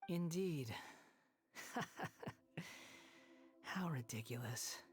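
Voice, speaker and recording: A man's voice: a little high, expressive, drawn-out words. A man voicing a bitter, proud young male outcast in a video game, aloof and sardonic, with clipped delivery. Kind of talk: scripted video game dialogue. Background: game music.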